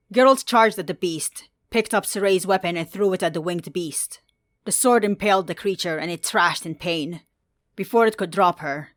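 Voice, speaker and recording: A woman reading scripted fantasy fan fiction as a narrator, in a measured, dramatic storytelling manner. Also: fairly low pitch, no room sound, clean studio sound.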